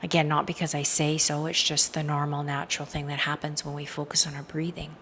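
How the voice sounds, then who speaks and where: A woman with a low voice leads a guided meditation. Her delivery is soothing, with creaky fry as phrases trail softly away. A faint layer of background noise sits under the recording. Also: level tone, a little fast. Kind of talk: guided meditation, hypnotic induction.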